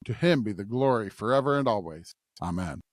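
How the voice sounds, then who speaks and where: A man's voice: fairly low pitch, animated, fairly quick, no echo, studio quality. A man co-hosting a podcast, reading and discussing scripture. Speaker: podcast co-host.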